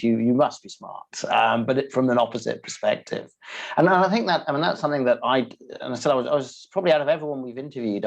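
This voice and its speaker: Male interview host, gravelly tone, hesitant and searching. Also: medium pitch, a little mellow. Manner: halting, searching for words, repeats himself. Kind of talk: conversational interview questions.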